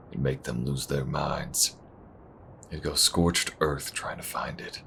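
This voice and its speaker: A man playing a wary, protective chop shop owner in a space western audio roleplay, talking slowly and intimately in folksy phrases. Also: a bass voice, fairly bright tone, a little hiss or hum, full character voice. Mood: grave. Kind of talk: audio roleplay acting, spoken intimately to the listener.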